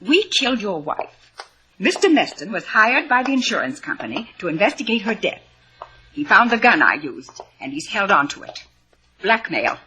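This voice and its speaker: A woman's voice: medium pitch, big pitch swings, normal speed, sharp tone, husky, muffled recording, heavily acted. A woman playing a bossy, coolly sweet murderess who manipulates her meek husband in an old radio drama, with prim endearments over cold commands. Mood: cold, insistent. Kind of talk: 1950s radio drama acting.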